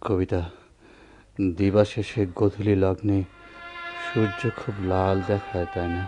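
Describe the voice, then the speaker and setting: An actor playing a dying, embittered but tender doctor in a radio drama, speaking in a halting, poetic, theatrical way. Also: male voice, low voice, little pitch movement, warm tone, soft background music. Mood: bitter, sorrowful. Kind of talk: Bengali radio drama acting.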